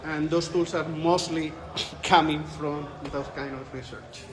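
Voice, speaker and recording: A man's voice: fairly high pitch, steady tone, a little slow, a crowd in the background. A man in his forties from Spain, speaking English with a Spanish accent, answering questions in a conference interview in a thoughtful way, often restarting his phrases. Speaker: interviewed researcher. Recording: echoey room with light ambience.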